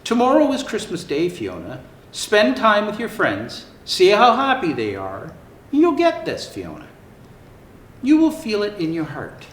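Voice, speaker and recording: A man voicing a gentle, reassuring mother hippo from a children's storybook in a soothing, tender way. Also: high voice, wildly swooping pitch, a little slow, exaggerated voice. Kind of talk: storybook character reading. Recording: roomy echo, faint background noise.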